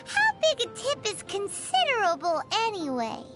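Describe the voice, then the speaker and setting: A woman voicing a tiny, perky, childlike female companion with a squeaky voice in a video game, music behind her. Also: wildly swooping pitch, normal speed, fairly bright tone, full character voice. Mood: chatty, cheerful. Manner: refers to self in third person, exclamatory. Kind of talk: video game character dialogue.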